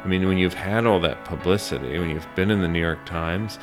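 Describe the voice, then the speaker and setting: A man's voice: low voice, a little fast, music in the background. A middle-aged American man telling a story conversationally in an interview, earnest and reflective, circling back over phrases for emphasis. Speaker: small business owner being interviewed.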